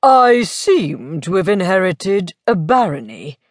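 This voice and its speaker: A woman voicing a wry, urbane gentleman lord in an audiobook, droll and deliberate in manner. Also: on the low side, very animated, dry recording, clean studio sound, heavily acted. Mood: wry disbelief.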